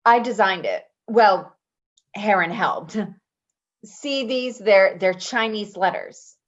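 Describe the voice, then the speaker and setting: Casting: actress for brash, talkative female caregiver, highly varied intonation, naturalistic play-reading delivery, prickly and defensive; muffled lo-fi recording. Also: expressive. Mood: eager, defensive. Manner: rambling, halting, with nervous laughter. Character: brash, chatty, defensive woman caregiver named Patti. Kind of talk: naturalistic readers theater acting.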